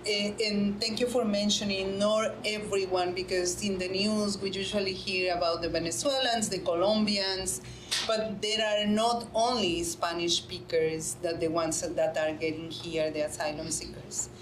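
A woman's voice: medium pitch, fairly even intonation, measured pace. Earnest woman panelist in a roomy hall. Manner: earnest, repeats phrases for emphasis, many restarts. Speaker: panelist, nonprofit director. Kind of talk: panel discussion answer. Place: conference room, indoors. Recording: roomy conference room with faint hum.

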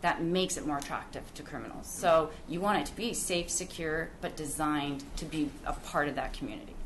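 A woman presenting briskly and upbeat as an applicant at a council public hearing, standing at a podium in a council chamber. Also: low-pitched. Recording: noisy room recording.

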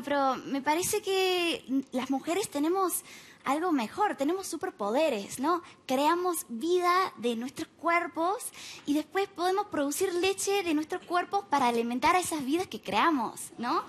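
A young American woman doing stand-up in Spanish with an Argentine-tinged accent, deadpan and ironic, on a TV comedy club stage at a stand mic. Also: extremely high pitch, a little hiss or hum. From United States. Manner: deadpan, ironic asides with frequent tag questions. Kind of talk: stand-up routine.

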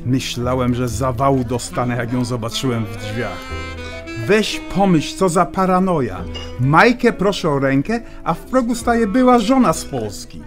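A sardonic, excitable emigrant man, played by a male actor in a radio play. His mid-pitched voice swings animatedly and colloquially, over clear music. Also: normal speed, natural voice. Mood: exasperated relief. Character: sarcastic, excitable newly engaged Polish emigrant man. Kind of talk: audio drama acting.

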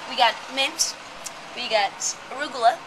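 A bubbly young woman hosts a cooking vlog, eager and chatty as she introduces her guest. The sound is recorded on camera from a few feet away. Steady outdoor noise sits under her voice. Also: fairly high pitch, normal speed. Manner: bubbly, enthusiastic. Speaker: host. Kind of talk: casual cooking vlog chat. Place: sunny garden patio, then home kitchen.

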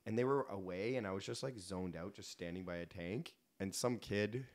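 A man's voice: sits low, normal speed. A Canadian man chatting casually as a podcast guest, rambling and self-deprecating, acting out bits of dialogue as he tells stories. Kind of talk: casual podcast chat with comic storytelling.

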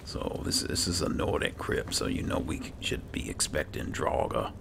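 A man talking in character in an unhurried, muttering way as he plays through a fantasy video game. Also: a bass voice, expressive. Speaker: gamer playing in character. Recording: clearly noisy, game ambience behind.

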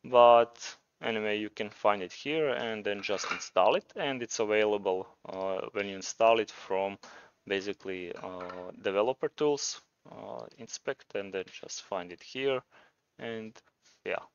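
A man's voice: on the low side, a little slow, clean tone, no room sound, muffled recording. A man with a Croatian accent presenting a programming tutorial, talking informally and thinking aloud as he demonstrates. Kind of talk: casual screencast tutorial narration.

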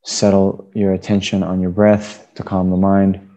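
A man leading a guided meditation as a Buddhist teacher, giving unscripted instructions in halting phrases. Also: low voice, completely flat delivery, mellow.

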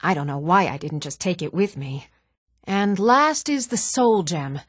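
An actress playing an earnest, even-toned woman in a fantasy video game. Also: lowish voice, unhurried, no room sound, clean studio sound. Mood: scatterbrained, eager. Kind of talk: video game character dialogue.

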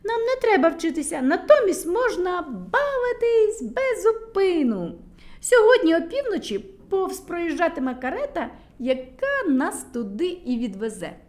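A woman's voice: very high voice, very animated, measured pace, a little mellow, pitched above the performer's own voice, heavily acted. A woman voicing a mischievous young boy who eagerly coaxes a friend to skip school, in a storybook reading. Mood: coaxing.

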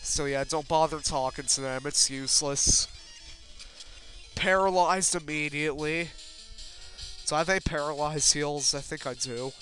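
Bright-voiced man rambling over game music. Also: fairly high pitch, steady tone, normal speed, pauses often. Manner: rambling, self-deprecating, swears casually. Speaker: let's player. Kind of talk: casual video game commentary. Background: video game music.

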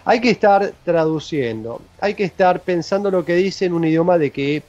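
A man, hosting a live show from a home studio and talking in a halting way with many restarts. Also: high voice, expressive, a little mellow. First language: Spanish. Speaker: interviewer and show host. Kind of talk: casual chat on a live show. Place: home studio with records on shelves. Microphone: studio mic on boom arm, video call. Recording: dull lo-fi video call audio.

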